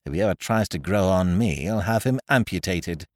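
A man's voice: low voice, animated, normal speed, darkish tone, no echo, clean studio sound. A man voicing an exasperated male novelist in a comic story, sardonic, indignant and drily wordy. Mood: bitter sarcasm.

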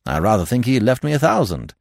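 A man's voice: medium pitch, huge pitch swings, quick pace, creaky, no echo, studio quality, pitched above the performer's own voice, heavily acted. A man voicing a brash, blunt, loyal secretary in an audiobook mystery, speaking in slangy, emphatic, indignant bursts. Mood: brisk, assured. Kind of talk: acted dialogue in audiobook mystery.

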